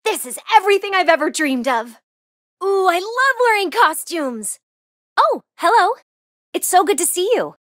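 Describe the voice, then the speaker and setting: A woman with an American accent voicing a bubbly, eager, excitable young princess racer in a video game, gushing and slightly flustered. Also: very high voice, moderate pace, dry recording, clean studio sound, heavily acted. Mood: excited, cheerful. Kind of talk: animated video game character voice lines.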